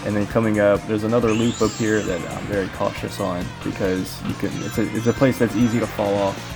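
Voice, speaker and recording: A man's voice: lowish voice, little pitch movement, brisk. A man giving casual, informal speedrun commentary, rambling as he explains the game. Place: voice-over recorded over game footage. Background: game music.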